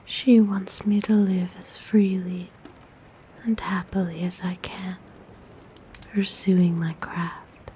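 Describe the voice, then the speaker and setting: A tender forest witch acted by a woman speaks in a soft, breathy whisper, low and dark in tone. She is kind and soothing, as in an ASMR roleplay, with faint ambient noise behind her. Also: little pitch movement, slow. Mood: wistful. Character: kind, gentle forest witch sheltering a lost traveler.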